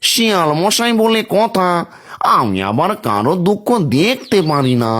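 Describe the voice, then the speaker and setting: A man's voice: high-pitched, big pitch swings, a little slow, fairly bright tone, heavily acted. A man voicing a sly, greedy fox with a wheedling manner in a children's cartoon. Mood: falsely kind. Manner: wheedling, crafty. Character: sly, greedy fox who feigns kindness. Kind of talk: children's fairy-tale cartoon acting. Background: music.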